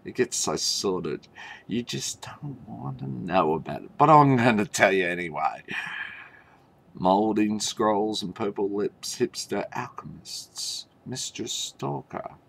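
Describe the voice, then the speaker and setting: A man telling a mock fairy tale in a theatrical, tongue-in-cheek way, with halting pauses and knowing asides. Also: on the low side, animated, gravelly, a bit bright, a little hiss or hum. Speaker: storyteller.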